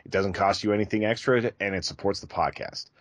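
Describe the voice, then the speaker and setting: Male podcast co-host, deep voice, dry sound, casual conversational read. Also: steady tone, a little fast, no room sound.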